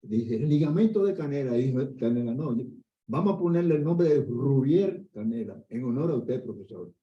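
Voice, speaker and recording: An older man, an orthopedic doctor giving a conference lecture in a lively storytelling way. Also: medium pitch, normal speed. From Dominican Republic. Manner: conversational, animated storytelling with asides. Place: conference hall. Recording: echoey hall, dull lo-fi sound.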